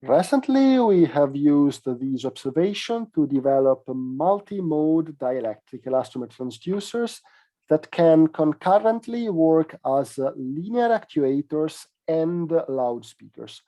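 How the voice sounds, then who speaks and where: A man giving a technical conference presentation as a researcher, explaining in a measured way with hesitant pauses mid-phrase. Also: a little high, lively intonation, warm tone.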